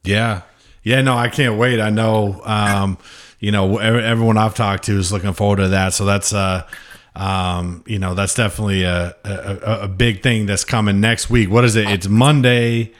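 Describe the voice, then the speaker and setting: A man hosting a podcast, interviewing a band in a relaxed, casual way and calling them "dude" and "man". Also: lowish voice, moderate pace. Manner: laid-back, says "dude" and "man" a lot. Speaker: podcast host. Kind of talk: casual interview over a video call.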